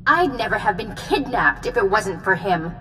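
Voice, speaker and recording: An actress playing a bitter, accusing jilted fiancée in a sci-fi video game, with indignant, dramatic delivery. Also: female voice, mid-range voice, animated, moderate pace, a bit bright, a little hiss or hum, close to an everyday voice. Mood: bitter blame. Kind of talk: video game dialogue acting.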